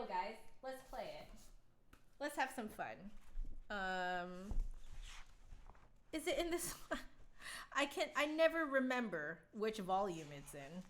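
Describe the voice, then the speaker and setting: A woman's voice: a little high, fairly bright tone. A young adult woman chatting casually on a live stream, rambling with lots of fillers while answering viewers. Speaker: flutist streamer.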